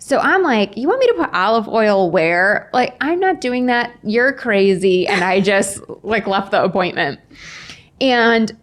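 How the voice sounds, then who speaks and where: A woman's voice: mid-range voice, a little slow. A woman in her forties, a guest author on a podcast, casually telling personal stories and acting out the dialogue. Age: 40s. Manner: animated, acts out quoted dialogue. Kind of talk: casual anecdotal storytelling. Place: indoors, in-person podcast recording.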